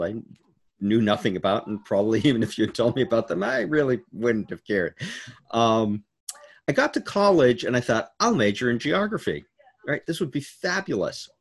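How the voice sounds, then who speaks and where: Older guy on a video call, low voice, lots of uh's, rambly storyteller. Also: lively intonation. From United States. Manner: halting, many uh fillers, laughs while telling stories. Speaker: keynote speaker. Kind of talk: informal virtual interview.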